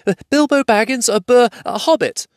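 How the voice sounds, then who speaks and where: A man's voice: very high-pitched, lively intonation, moderate pace, no echo, studio quality, pitched above the performer's own voice. A man voicing a small, frightened male hobbit in a storybook, polite, flustered and breathlessly pleading. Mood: terrified.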